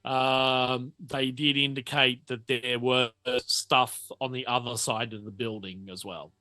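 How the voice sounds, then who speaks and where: A man running a tabletop roleplaying game as game master, narrating off the cuff and often halting to think mid-sentence. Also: mid-range voice, fairly even intonation, bright, forceful tone, no room sound.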